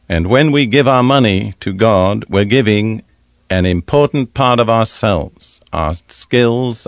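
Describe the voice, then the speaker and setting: An older man teaching from the Bible in an archive sermon recording, speaking deliberately with pauses. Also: lowish voice, dry recording, studio quality.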